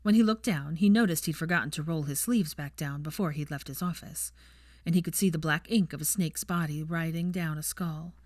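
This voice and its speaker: A woman's voice: low-pitched, brisk, a little mellow, dry recording, studio quality. A woman reading fiction aloud as scripted audiobook-style narration.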